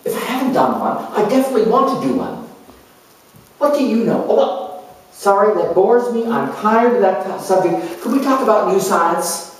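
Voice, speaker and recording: A flighty, gushing young student played by a man chatters in a theatrical monologue, easily bored and skipping about. The pitch is high and leaps around freely, heard from a distance in a very echoey room. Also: normal speed. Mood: excitable, curious. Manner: excitable, scattered, gushing, jumping between subjects. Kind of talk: theatrical solo character monologue.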